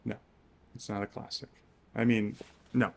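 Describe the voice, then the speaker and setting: A man's voice: on the low side, level tone, moderate pace, vocal fry. A man co-hosting a book channel, talking in casual, informal chat with a friend during a guessing game.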